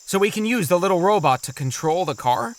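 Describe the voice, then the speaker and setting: A man's voice: sits high, expressive, brisk. A man playing a kindly, practical father in a kids' audio drama, reasonable and encouraging. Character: kindly, sensible, practical father of two kids.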